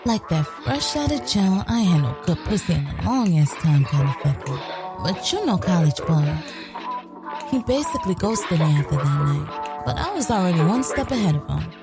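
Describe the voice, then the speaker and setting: A woman's voice: fairly low pitch, big pitch swings, moderate pace, over music. A woman playing a sly, brash young woman killer in an audio drama, telling her story in a sassy, profane, colloquial way. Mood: boastful, dismissive. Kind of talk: scripted first-person narration, audio drama.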